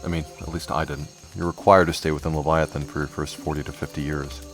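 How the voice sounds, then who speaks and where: An actor playing a worldly, centuries-old immortal man in an audio drama, speaking in a measured, philosophical way. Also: a bass voice, flat delivery. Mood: reflective. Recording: music bed behind the voice.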